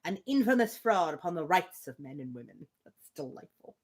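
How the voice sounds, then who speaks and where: A woman's voice: on the low side, big pitch swings, brisk. A woman reading a classic novel aloud expressively, breaking off for casual, chatty asides. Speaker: live book reader.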